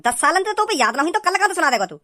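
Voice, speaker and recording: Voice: very high-pitched, big pitch swings, rapid-fire, bright, forceful tone, full character voice. A performer voicing a strict male schoolteacher in a comic cartoon, with a stern and impatient manner.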